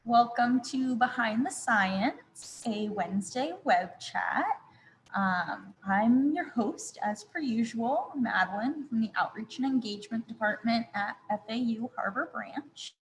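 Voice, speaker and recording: A woman's voice: on the high side, unhurried, in a large hall, slight background noise, rough recording. A woman hosting a web chat interview over a video call, chatty and friendly, with frequent ums. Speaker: host.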